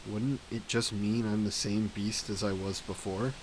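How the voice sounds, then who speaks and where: An actor playing a grieving ancient vampire in an audio drama, speaking in a regretful, halting, introspective way. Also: male voice, little pitch movement, a little hiss or hum, close to an everyday voice, poor audio. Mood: conflicted. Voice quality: low, slow and brooding.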